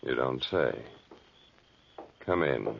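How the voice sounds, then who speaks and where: A man playing a mysterious backwoods cabin dweller in a 1940s radio drama, ominously polite, slow and deliberate, with a gravelly voice. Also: rumbling low, heavy background noise, heavily acted. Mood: ominously courteous. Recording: dull lo-fi 1940s radio recording.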